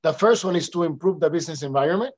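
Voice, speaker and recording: A man with a Spanish accent, from the Dominican Republic, presents in a webinar. His voice sits a little higher than most men's. He talks politely and hesitantly, with fillers and false starts. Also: expressive, very fast, a little mellow. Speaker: panelist representing an international organization.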